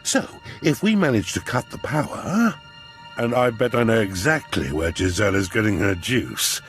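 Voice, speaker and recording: Game villain casting: smug duke, pompous, wildly swooping delivery, stretched key words, music underneath. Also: male voice, lowish voice, very animated, fairly bright tone. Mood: scheming, smug. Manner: pompous, drawn-out emphasis. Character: scheming, smug, theatrical aristocratic duke. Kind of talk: video game character acting.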